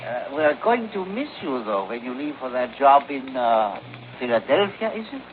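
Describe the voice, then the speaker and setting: Male, actor playing an obliging, fussy proprietor, animated and mid-pitched, for vintage radio; muffled, noisy sound. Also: normal speed, lightly acted. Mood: genial, obliging. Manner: hesitant, courteous, chatty. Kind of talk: 1950s radio drama acting.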